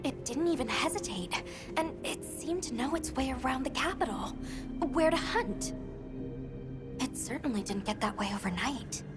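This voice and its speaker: Game cutscene role: keen, composed woman researcher, fairly high voice, measured and instructive, loud music underneath. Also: expressive. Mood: intrigued. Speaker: expedition leader and monster researcher. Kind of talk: video game cutscene acting.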